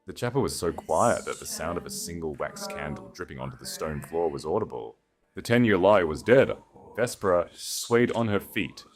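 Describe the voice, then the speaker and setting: A man reading a dramatic story as an audiobook narrator, solemn and with deliberate emphasis. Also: low voice, animated, soft background music.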